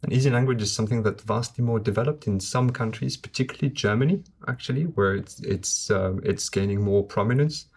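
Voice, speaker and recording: Low-voiced man. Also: vocal fry, a little mellow, slightly rough. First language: French. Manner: measured, explanatory. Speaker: guest translator. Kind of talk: conversational podcast interview.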